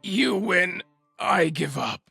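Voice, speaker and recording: An actor playing a desperate, grieving kidnapper in a video game, with a rough voice and dramatic delivery. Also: male voice, high-pitched, animated, takes it slowly, loud music behind the voice, heavily acted. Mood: pleading, regretful. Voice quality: gravelly, rough voice. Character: desperate grieving male kidnapper, a remorseful villain. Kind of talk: video game cutscene acting.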